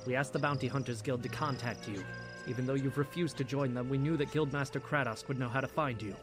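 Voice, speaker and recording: Man acting a solemn blind priestess over loud music. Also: medium pitch, steady tone, lightly acted. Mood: calm, guarded. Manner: measured, formal, pious. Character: solemn blind priestess of a religious order, composed. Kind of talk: dramatic comic dub narration.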